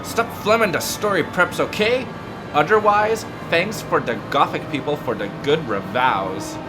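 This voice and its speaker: A man voicing a petulant, excitable teenage girl fan-fiction author, whiny, demanding and emphatic, in a comedic reading. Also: on the high side, measured pace, pitched above the performer's own voice. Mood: petulant, indignant. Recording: loud music behind the voice.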